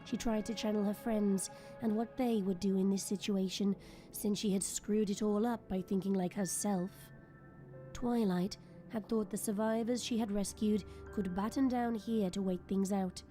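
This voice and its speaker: A woman reading scripted, dramatic story narration as the narrator of an audio drama. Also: medium pitch. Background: soft music.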